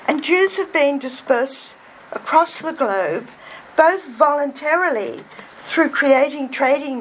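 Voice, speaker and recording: A soft-voiced woman with a fairly high voice gives a public lecture at an unhurried, deliberate pace. Her delivery is measured and scholarly, and a faint hiss sits under the recording. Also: rough recording. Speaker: guest speaker, author. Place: hall before an audience.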